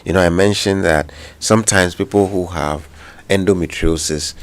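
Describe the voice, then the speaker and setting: A man with a Ghanaian English accent, a doctor answering listeners' health questions on radio in a measured, explanatory way. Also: deep voice, steady tone. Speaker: medical doctor guest.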